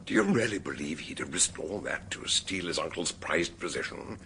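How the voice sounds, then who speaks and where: An actor playing a shrewd gentleman investigator in a radio drama, deliberate, wry and probing in manner. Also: sits low, animated, a little hiss or hum, exaggerated voice. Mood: emphatic. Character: shrewd, mannered gentleman sleuth questioning suspects.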